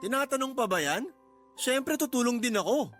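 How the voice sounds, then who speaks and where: A man playing a supportive, middle-aged working father, gentle and fatherly, in a radio drama. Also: very high voice, lively intonation, normal speed, soft background music. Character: supportive, teasing aging father, a construction worker. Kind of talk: Tagalog radio drama acting.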